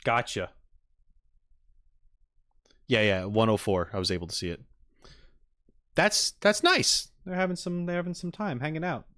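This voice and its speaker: A man's voice: mid-range voice, normal speed, pauses often. A man casually chatting and reacting on a livestream, with easygoing asides muttered to his viewers. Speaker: streamer reacting to game videos.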